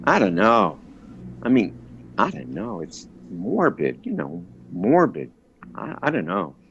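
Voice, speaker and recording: A man's voice: lowish voice, huge pitch swings, a little slow, gravelly, a bit bright, dull audio, slight background noise, exaggerated voice. A man playing a timid, henpecked Hollywood husband in a 1940s radio drama, hesitant and placating. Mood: uneasy.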